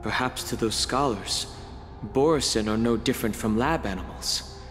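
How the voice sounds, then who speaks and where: An actor voicing a reserved, pensive young man in a video game, talking quietly and thoughtfully. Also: mid-range voice, moderate pace, natural voice. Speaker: game character. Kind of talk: dubbed game dialogue. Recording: long reverb with faint music.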